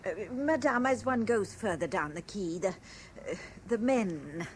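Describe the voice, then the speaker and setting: A woman's voice: mid-range voice, animated, measured pace, lightly acted. A woman playing a helpful, cautious café server in a radio play. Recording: faintly noisy 1970s radio recording.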